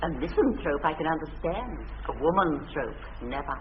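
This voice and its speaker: An actress playing a prim, pedantic middle-aged English governess, coyly amorous and lecturing, in a period radio comedy. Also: female voice, low voice, sing-song, normal speed. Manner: lecturing, emphatic, fussy. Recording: dull old radio sound, faint noise.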